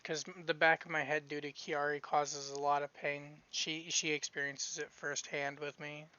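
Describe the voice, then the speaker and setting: A man's voice: fairly high pitch, level tone, normal speed, sharp tone, smooth, lo-fi sound. A man giving a casual update to his viewers after surgery, drowsy, rambling and restarting often, with a scratchy voice. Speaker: streamer giving a health update. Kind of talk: casual live-stream chat.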